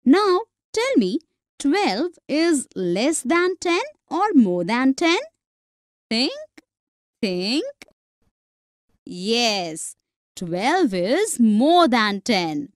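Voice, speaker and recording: A woman narrating a slow, scripted math lesson for young children, counting objects aloud step by step. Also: on the high side, big pitch swings, dry recording, studio quality. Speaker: teacher.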